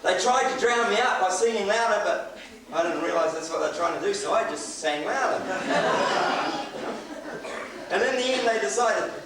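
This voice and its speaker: Middle-aged New man, high-voiced storytelling preacher, distant mic in a booming hall. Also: moderate pace, crowd noise. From New Zealand. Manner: storytelling, chuckling, animated. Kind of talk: sermon with personal story. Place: church hall. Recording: echoey hall recorded from afar.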